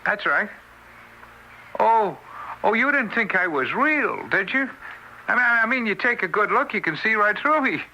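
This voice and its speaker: A man's voice: high voice, big pitch swings, a little slow, long pauses, lo-fi sound, slight background noise, full character voice. An actor in an old-time radio drama playing a timid, apologetic ghost of a small frail man, stammering and pleading. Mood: hesitant, explaining. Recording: bright, thin old radio recording.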